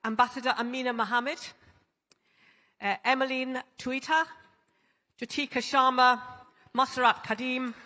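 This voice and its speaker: A woman's voice: high-pitched, fairly even intonation, bright, forceful tone. Chatty woman moderator in a reverberant hall. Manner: chatty, halting, many restarts. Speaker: panel moderator. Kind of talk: conference moderation. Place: large conference hall.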